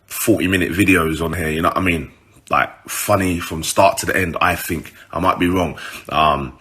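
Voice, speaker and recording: A man's voice: deep voice, fairly bright tone. A man with a British urban accent telling a story casually to his followers, informal, slangy and sweary.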